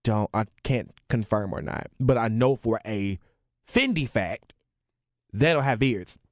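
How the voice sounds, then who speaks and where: A man co-hosting a podcast in casual chat, animated and passionate, often laughing. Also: on the low side, measured pace, no room sound, clean studio sound. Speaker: podcast co-host.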